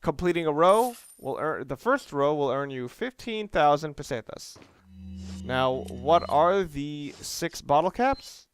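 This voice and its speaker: A man's voice: on the high side, expressive, unhurried. A man giving casual, hesitant video game commentary with wry, self-deprecating asides. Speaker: video game let's player. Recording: game music and gunfire behind. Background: game music, gunfire.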